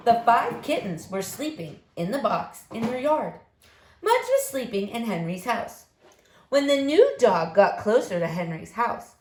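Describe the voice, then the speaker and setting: A woman reading a storybook aloud to young children in an expressive, emphatic, deliberate way. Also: medium pitch. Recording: roomy echo, faint background noise.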